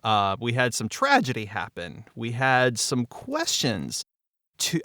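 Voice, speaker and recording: Male podcast guest, unhurried, wry, explanatory, dry close sound. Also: mid-range voice, fairly bright tone, no echo. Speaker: show writer and podcast guest. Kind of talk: casual explanatory discussion.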